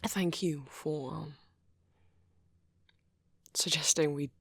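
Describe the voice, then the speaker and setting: A woman voicing a shy, awkward young vampire boyfriend in an ASMR roleplay, hesitant and rambling, with a soft, breathy delivery. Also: low-pitched, dry recording, full character voice. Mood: bashful, touched. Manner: hesitant, halting, rambling, nervous.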